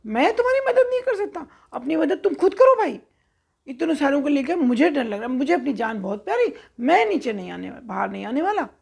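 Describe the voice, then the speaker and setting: A woman voicing a timid yet boastful little male mouse in a children's story, protesting and bragging excitedly. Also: high voice, brisk, creaky, exaggerated voice. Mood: alarmed refusal.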